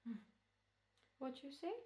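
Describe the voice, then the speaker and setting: A woman's voice: dark tone, close to an everyday voice. A woman playing a courteous, sinister, knowingly amused doctor in an old-time radio drama. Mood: cool, polite. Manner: polite, measured, knowingly amused. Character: suave, sinister, courteous doctor scheming over murder.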